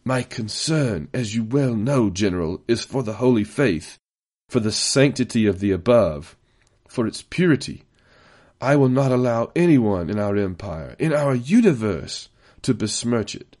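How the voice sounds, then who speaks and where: A man's voice: medium pitch, lively intonation, a little slow, darkish tone. A man voicing a gaunt, haughty imperial inquisitor in an audiobook, speaking with intense, imperious emphasis. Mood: quietly intense.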